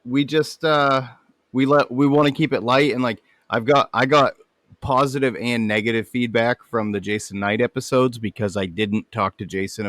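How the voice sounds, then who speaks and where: A man's voice: lowish voice, a bit bright, dry recording, studio quality. A man hosting a podcast in casual chat, laid-back and joking, swearing casually. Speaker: podcast host.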